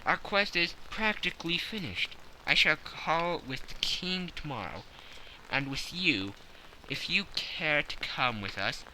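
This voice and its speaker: A man voicing a confident, analytical gentleman detective who explains things precisely and with self-satisfaction in an audiobook. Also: a little high, animated, unhurried, noisy background. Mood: brisk, confident. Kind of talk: audiobook character acting.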